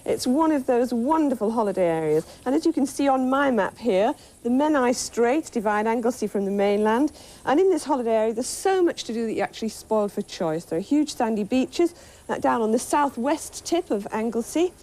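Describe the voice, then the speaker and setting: A woman in her thirties co-presenting a studio game show, cheerful and quick to giggle. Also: a little high, lively intonation, mellow, low-quality recording. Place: TV studio set. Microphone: lapel mic.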